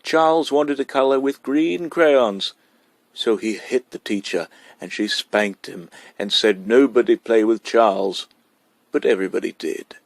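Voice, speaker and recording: A man voicing a cheeky, boastful kindergarten boy, loud and excitable, as a storybook character. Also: lowish voice, a little slow, gravelly, dry recording. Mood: explaining eagerly. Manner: insolent, excitable.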